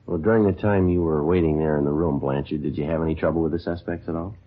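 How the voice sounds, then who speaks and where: A man playing a terse, businesslike police detective in a 1950s radio drama, speaking in a deadpan, clipped way. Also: a bass voice, fast. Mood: flat, businesslike. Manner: deadpan, clipped, matter-of-fact. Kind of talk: 1950s radio acting, clipped narration and dialogue. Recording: dull, lo-fi old radio recording.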